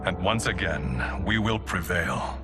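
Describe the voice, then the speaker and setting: An actor playing a solemn, loyal warrior ally in a video game, with formal, deliberate speech. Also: male voice, low-pitched, expressive. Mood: devoted. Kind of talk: video game cutscene acting. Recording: loud music behind the voice.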